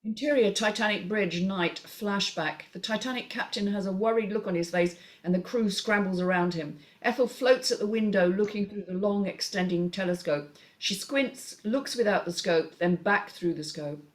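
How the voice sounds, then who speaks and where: A woman's voice: medium pitch, normal speed, few pauses. A woman narrating a screenplay, reading out scene headings and stage directions as scripted narration.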